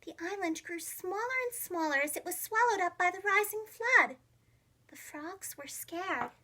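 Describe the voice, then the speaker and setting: A woman narrating a children's storybook as a preschool teacher, expressive, with simple phrasing for young children. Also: extremely high pitch, a little slow.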